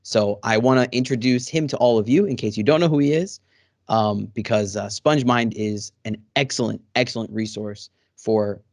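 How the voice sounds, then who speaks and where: A man's voice: lowish voice, normal speed, darkish tone, no echo, clean studio sound. A man hosting a casual interview over a video call, friendly and rambling with many fillers. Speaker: host. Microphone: studio microphone.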